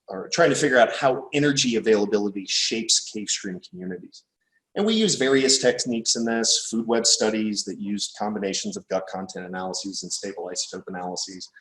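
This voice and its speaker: Man, American Midwestern accent, 30s, relaxed seminar talk, roomy sound, distant mic. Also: mid-range voice. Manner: relaxed, informal, thinking aloud. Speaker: guest lecturer. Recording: echoey room, distant mic.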